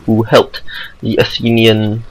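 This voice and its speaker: A man with a Singaporean accent giving casual amateur commentary over a video game match, excitable and rambling. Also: lowish voice, slow pace, low-quality recording. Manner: excitable, rambling asides, self-deprecating.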